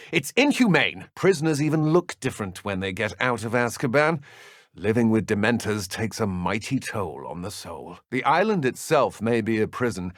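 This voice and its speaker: An actor voicing a callous, arrogant dark wizard henchman in a video game, with a British accent and a haughty, sardonic manner. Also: medium pitch, no room sound, clean studio sound, natural voice. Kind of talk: video game villain dialogue.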